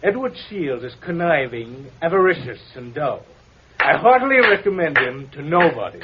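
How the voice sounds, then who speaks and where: An actor playing a big, blunt, cynical sculptor in a sardonic 1940s radio drama style. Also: male voice, on the high side, very animated, moderate pace. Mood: blunt, scornful. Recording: muffled, noisy vintage radio recording.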